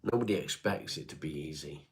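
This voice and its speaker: Brief: low-pitched man, bunged-up nose, laid-back stream chatter reading out comments. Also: lively intonation, brisk, darkish tone. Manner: chatty, answers viewer comments one by one, calls people mate. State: congested, stuffy nose. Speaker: livestream host reading chat. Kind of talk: casual livestream chat.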